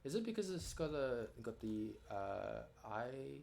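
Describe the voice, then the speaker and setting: A man's voice: mid-range voice, big pitch swings, measured pace, background noise. A man casually chatting as he follows a tutorial, tentatively thinking aloud while he works. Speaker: learner following along, lead writer. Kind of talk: casual chat while working on screen. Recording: music clearly playing behind the voice. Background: background music.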